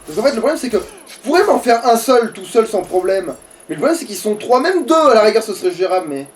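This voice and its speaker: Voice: mid-range voice, big pitch swings, quick pace, a little hiss or hum. Sweary gamer, distant mic. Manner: sweary, grumbling, talks to the game. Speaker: video game streamer playing. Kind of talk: casual gaming commentary while playing.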